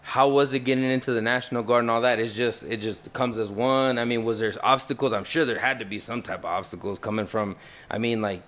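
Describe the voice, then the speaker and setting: A man's voice: medium pitch, fairly quick. Hype, slangy male podcast host. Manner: hype, slangy, animated, drops Spanish phrases. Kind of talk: casual podcast interview.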